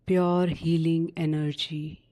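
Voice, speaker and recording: A woman narrating a guided sleep meditation in a soothing, unhurried manner with long pauses between short instructions. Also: low voice, little pitch movement, warm tone.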